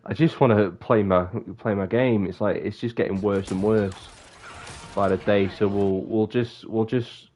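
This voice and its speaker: A man's voice: fairly low pitch, fairly even intonation, a little slow, darkish tone. A young man with a bunged-up, sniffly voice, rambling casually on a live stream and often breaking off mid-sentence. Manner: rambling, self-interrupting.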